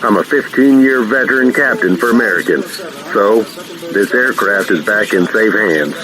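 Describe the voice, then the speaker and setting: An actor playing a calm, modest veteran airline captain talking over the radio in an audio drama, plain-spoken and measured. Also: male voice, lowish voice, a crowd in the background, lightly acted. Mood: shaken but composed. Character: calm veteran airline captain who retook his hijacked plane, modest. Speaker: airline pilot. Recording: noisy cockpit radio transmission.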